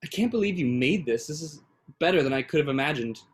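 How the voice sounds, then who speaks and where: A man acting a gentle, dry-humoured young boyfriend in a staged play reading, understated and conversational. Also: on the high side, animated, quick pace, close to an everyday voice. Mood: touched.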